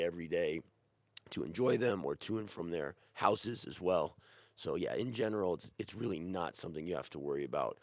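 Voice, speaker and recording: A man's voice: sits low, gravelly, dry recording. A man who is sick and losing his voice, answering viewer questions about living abroad in a casual, rambling way. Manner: rambling, many restarts and fillers. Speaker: real estate agent answering viewer questions. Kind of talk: casual Q&A livestream.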